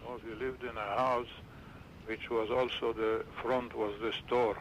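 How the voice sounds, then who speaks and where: A man in his seventies from Romania, recalling his childhood in an interview and often pausing to search for words. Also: mid-range voice, level tone, muffled recording, slight background noise. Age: 70s. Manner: halting, restarts and searches for words.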